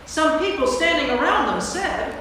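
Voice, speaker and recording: A woman's voice: high-pitched, expressive, moderate pace, bright, forceful tone. A woman narrates a staged scripture reading. Her voice carries from a distance through a large church, with heavy echo, long reverb and faint noise.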